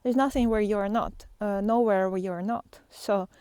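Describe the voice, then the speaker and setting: A woman giving an unscripted, reflective spiritual monologue, thinking aloud with pauses and earnestly repeating key phrases. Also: medium pitch, steady tone, warm tone, clean tone, dry recording. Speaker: spiritual teacher and artist.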